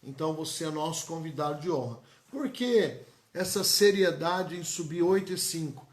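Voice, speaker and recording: Echoey-voiced São pastor. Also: male voice, on the high side, normal speed. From São Paulo, Brazil. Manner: emphatic and repetitive, folksy asides to viewers. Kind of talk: live-streamed church announcements and blessings. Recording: echoey church room.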